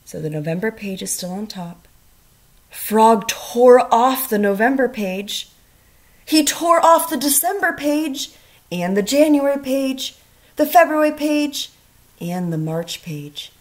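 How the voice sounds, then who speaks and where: A woman teacher reading a storybook aloud to children, expressive and instructional, asking questions as she goes. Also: a little high, slow pace. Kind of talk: storybook read-aloud with questions to young listeners.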